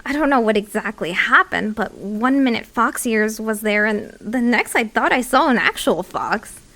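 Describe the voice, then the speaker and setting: A woman's voice: fairly high pitch, expressive, moderate pace, pushed and bright. A woman playing a puzzled, wonderstruck young woman, musing aloud in an audio drama monologue. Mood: bewildered.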